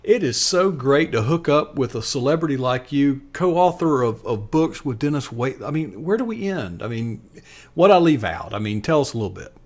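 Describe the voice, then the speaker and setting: A man's voice: mid-range voice, very animated. Guy hosting a live online show, hyped up but stumbling over his words. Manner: enthusiastic, halting with fillers. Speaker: host.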